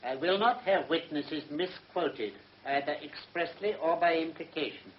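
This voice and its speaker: A man playing a stern, impatient British High Court judge in a radio drama, curt, pompous and authoritative. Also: animated, normal speed, slight background noise, lightly acted. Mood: stern rebuke. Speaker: actor. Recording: muffled vintage radio drama recording.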